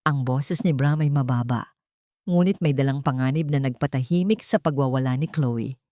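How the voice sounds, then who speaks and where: A woman with a Filipino accent narrating a scripted dramatic story in a measured, literary way. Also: extremely low pitch, mellow, no room sound, studio quality.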